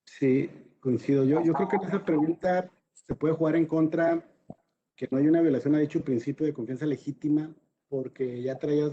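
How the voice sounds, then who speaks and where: Mellow-voiced Mexican man on a panel. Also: mid-range voice, highs cut off. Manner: hesitant, repeats words, tags sentences with "¿no?". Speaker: panelist reading audience questions. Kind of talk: informal legal panel discussion.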